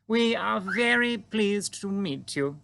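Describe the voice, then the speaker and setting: A man voicing a composed, dignified queen who speaks in a prim, measured way, read aloud from a storybook. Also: sits high, expressive, gravelly, sharp tone, a little hiss or hum, pitched above the performer's own voice, heavily acted. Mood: regal.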